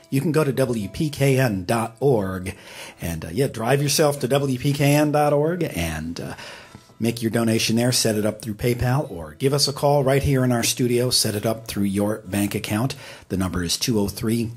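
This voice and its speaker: A man with a husky voice hosting live radio, making an unscripted pitch in an easygoing, conversational way with hesitant pauses. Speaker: radio host. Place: radio studio.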